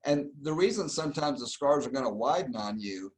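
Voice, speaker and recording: A middle-aged man from Argentina talks through his work as an interview guest. His voice sits at a medium pitch, and he explains in a patient, easygoing way that drifts into relaxed anecdotes. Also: fairly quick. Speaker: plastic surgeon, guest. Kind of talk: casual interview.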